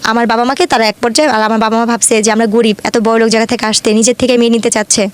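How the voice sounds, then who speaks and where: Fast-talking, higher-voiced Bangladeshi woman. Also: flat delivery. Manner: detailed retelling with frequent quoted dialogue. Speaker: guest telling own life story. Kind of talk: conversational personal storytelling.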